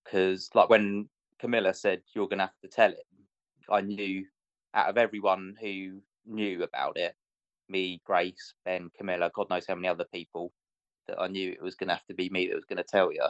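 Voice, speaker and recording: A man's voice: deep voice, flat delivery, moderate pace, dry recording, lo-fi sound. A man telling a personal story casually and a little hesitantly, as if to a friend. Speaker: interviewee, friend of the interviewer. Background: guitar music.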